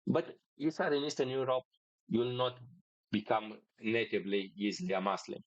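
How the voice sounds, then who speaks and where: A Romanian man speaks English hesitantly, with frequent fillers, restarts and broken phrasing. His voice sits at an ordinary pitch. The sound is dry and muffled. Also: expressive, brisk, dry recording. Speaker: podcast host. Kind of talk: casual monologue.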